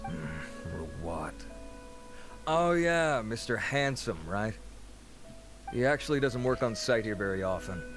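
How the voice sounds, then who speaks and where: An easygoing male researcher in a video game. His voice is fairly low, with a casual, frank, gossipy way of talking. Music is clearly audible behind him. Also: animated, unhurried, long pauses. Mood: candid, wry.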